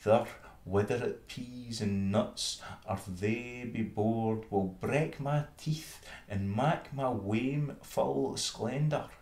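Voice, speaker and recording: A man's voice: mid-range voice, very slow, hardly any pauses, darkish tone, echoey room. A man voicing a proud, fussy elder town mouse sister with a Scottish accent in a storybook verse reading. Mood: haughty. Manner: haughty, scornful.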